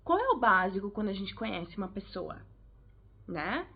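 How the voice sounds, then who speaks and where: A woman's voice: medium pitch, sing-song, normal speed, slightly rough. A woman from Brazil with a Brazilian Portuguese accent, giving chatty, direct advice to her viewers in a casual vlog. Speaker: vlogger, English teacher. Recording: tinny phone-like sound.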